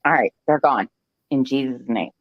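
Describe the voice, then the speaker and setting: A woman's voice: extremely low pitch, normal speed, no room sound. A woman hosting a live stream, telling a personal story in a chatty, joking way, with frequent "okay" asides. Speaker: host. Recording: dull lo-fi stream audio.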